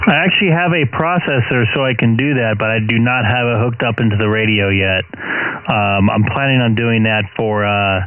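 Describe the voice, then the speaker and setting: A man's voice: lowish voice, moderate pace. A man, a ham radio operator, chatting casually over the air, laid-back and full of questions. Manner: casual, uses slang like dude, asks questions. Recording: tinny radio transmission with loud hiss.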